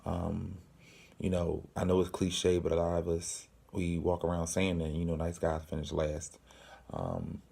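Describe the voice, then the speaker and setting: A young man in his twenties with a very low, gravelly voice and a dark, mellow tone. He speaks flatly and earnestly, pausing often with ums as he answers viewer questions for his channel. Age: 20s. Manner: slow, halting, many ums, earnest. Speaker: channel host. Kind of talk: casual Q and A to camera.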